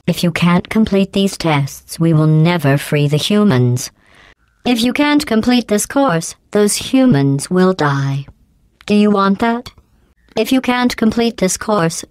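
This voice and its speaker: A woman voicing a cold, sarcastic female AI overseer in a video game, with robotic processing on her voice and deadpan, mocking praise. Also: lowish voice, smooth, no room sound. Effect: synthetic robotic voice processing.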